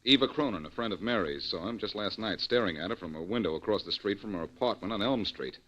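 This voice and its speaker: Vintage radio private eye: American, cocky, breezy, lively inflection, muffled old broadcast sound. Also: male voice, on the low side, fast. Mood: serious, explaining. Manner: breezy, needling banter. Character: cocky, quick-witted private detective, adult man. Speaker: lead actor in a detective radio drama. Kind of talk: old-time American radio drama acting. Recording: dull vintage radio recording.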